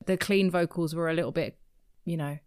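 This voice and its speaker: A woman's voice: sits low, fairly even intonation, brisk, darkish tone, clean tone, no room sound, clean studio sound. A tired woman co-hosting a podcast, rambling and self-deprecating as she talks about a song. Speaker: podcast co-host. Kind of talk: casual music review chat.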